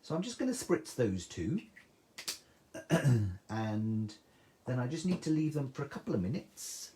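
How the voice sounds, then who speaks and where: A man's voice: mid-range voice, very animated, measured pace, mellow, a little hiss or hum. A man with a soothing voice, chatting through a live craft tutorial and reading out viewer comments. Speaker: craft demonstrator.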